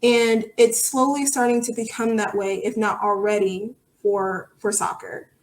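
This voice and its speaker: A woman's voice: on the high side, level tone, unhurried. A woman answering interview questions conversationally as a podcast guest, thinking aloud in an earnest, reflective way. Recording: roomy, echoey sound.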